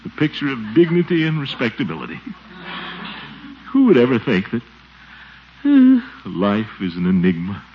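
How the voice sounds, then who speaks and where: Casting: blustery, pompous middle-aged man, wildly animated pitch, 1940s radio comedy, muffled old recording. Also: normal speed, long pauses, slight background noise. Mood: musing, sorrowful. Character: blustery, excitable middle-aged small-town commissioner, pompous and suspicious.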